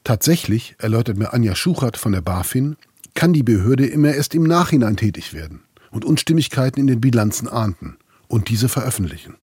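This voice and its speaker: Husky-voiced man narrating a documentary. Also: mid-range voice, sing-song, fairly quick, darkish tone, no room sound, clean studio sound. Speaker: podcast host and reporter narrating.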